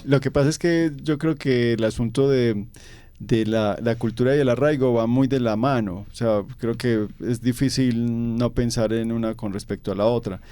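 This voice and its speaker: A man's voice: medium pitch, fairly even intonation, normal speed, mellow, smooth, dry recording. A young Colombian man with a paisa accent, giving thoughtful interview answers full of fillers and repeated words. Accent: Colombian paisa Spanish. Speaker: interview guest. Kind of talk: reflective conversational interview answers.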